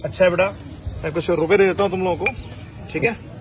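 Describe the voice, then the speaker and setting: A man's voice: sits high, steady tone, fast, slight background noise. A young man vlogging outdoors on a street, chatting casually and speaking earnestly to his viewers. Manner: friendly, laughs often, earnest when addressing viewers. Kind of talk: casual street vlog chat.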